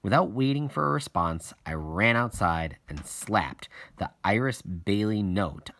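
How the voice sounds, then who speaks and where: A man reading a children's novel aloud in an expressive storytelling style, with deliberate, dramatic pauses. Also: on the low side. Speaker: audiobook reader.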